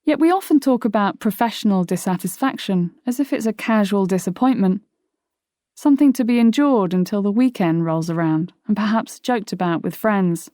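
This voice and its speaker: A woman reading her own nonfiction audiobook in a measured, articulate way. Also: mid-range voice, lively intonation, dark tone, dry recording, clean studio sound. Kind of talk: scripted audiobook narration. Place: dry studio.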